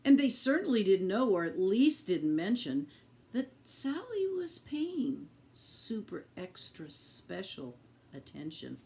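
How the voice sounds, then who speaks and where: A woman reading a picture book aloud to children in an expressive, deliberate teacherly way, speaking directly to her young listeners. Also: mid-range voice, slight background noise.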